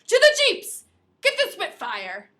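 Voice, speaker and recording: A woman reading a novel aloud as an alarmed male soldier shouting urgent battle orders. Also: wildly swooping pitch, measured pace, heavily acted. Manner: urgent, shouted, high-strung.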